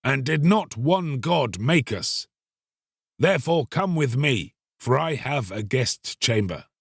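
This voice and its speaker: A man voicing a kindly, persuasive merchant in a storybook, speaking in measured, inviting, archaic phrases. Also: medium pitch, lively intonation, gravelly, husky, no echo, studio quality, voice pushed up, exaggerated voice. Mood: welcoming. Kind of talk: dramatised storybook reading.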